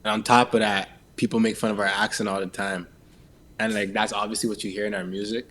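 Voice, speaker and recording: A man with a Toronto accent answering interview questions in casual, sweary, slang-heavy talk, halting and leaning on repeated tag phrases. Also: fairly low pitch, little pitch movement, fairly bright tone, a little hiss or hum. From Canada. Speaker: interviewee, musician.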